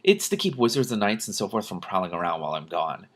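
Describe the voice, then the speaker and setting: Man reading as a brisk, confident princess. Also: low voice, big pitch swings.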